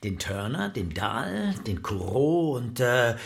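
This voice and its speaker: An actor playing an eager, collegial museum curator in a naturalistic radio drama, conversational and persuasive. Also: male voice, mid-range voice, sing-song, slow pace, gravelly, heavily acted.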